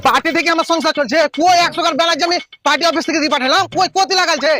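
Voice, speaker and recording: A man's voice: very high-pitched, sharp tone. A man playing a brusque, self-absorbed village party worker in a comic skit, dismissive, with a rural West Bengal accent. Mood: impatient. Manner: dismissive, hurried. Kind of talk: comic social skit acting. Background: music.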